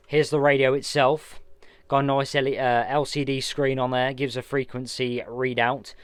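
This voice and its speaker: A man's voice: mid-range voice, little pitch movement, normal speed, clear, resonant tone, dry recording. A man casually unboxing a radio, chatty and hands-on, narrating each step as he goes. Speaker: vlogger unboxing radio gear. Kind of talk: casual product unboxing walkthrough.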